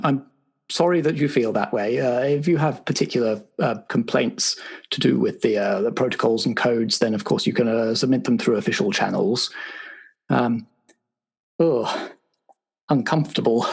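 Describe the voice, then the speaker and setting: A man's voice: medium pitch, gravelly, slightly rough. A man playing an awkward, apologetic intelligence agency official who stammers officiously through a debrief in an improvised roleplay show. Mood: uncomfortable, defensive. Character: awkward, apologetic male spy agency bureaucrat giving a debrief.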